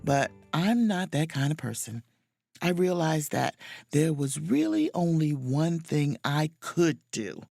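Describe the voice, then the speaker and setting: A proud, easily offended chief mate confiding in her diary in an audio drama. Her voice sits low for a woman and swings widely with wry, theatrical emphasis, over faint music. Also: sing-song, slow, creaky, dark tone, no echo, studio quality, full character voice. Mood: indignant, determined. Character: proud, indignant, self-dramatizing spaceship chief mate on vacation.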